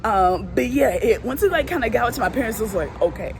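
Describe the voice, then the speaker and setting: A woman's voice: fairly high pitch, expressive, fast talker. A young woman casually telling a funny childhood story on her vlog, laughing and acting out voices. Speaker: vlogger telling personal stories. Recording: clear background noise, vehicle ambience.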